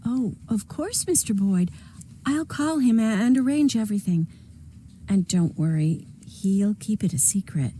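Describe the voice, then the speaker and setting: A woman's voice: medium pitch, expressive, a little slow, mellow, slight background noise, lightly acted. A woman playing a discreet, deferential police secretary in a video game, speaking in a polite, careful, secretive way. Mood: hesitant, obliging. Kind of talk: naturalistic video game voice acting.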